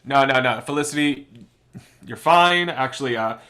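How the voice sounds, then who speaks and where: A man's voice: medium pitch, moderate pace, close to an everyday voice. An actor plays a frazzled young director who stammers and trips over his words, worn thin by his squabbling cast. His voice sits in a plain middle register and keeps rising into exasperation. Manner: stammering, exasperated, bursts into yelling. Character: harried young male director, flustered and stammering. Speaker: director of the radio play, Sebastian.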